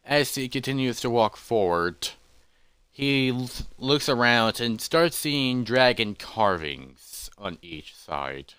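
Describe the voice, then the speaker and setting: A man narrating a fantasy adventure in the third person, halting and musing as he improvises the story. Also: mid-range voice, expressive, fairly bright tone, clean tone, dry recording.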